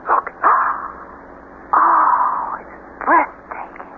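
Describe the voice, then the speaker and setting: An actress playing an eager, anxious new bride in an earnest, hesitant radio mystery style. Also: female voice, very slow. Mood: enchanted. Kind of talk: radio mystery drama acting. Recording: tinny band-limited vintage radio, clear hiss.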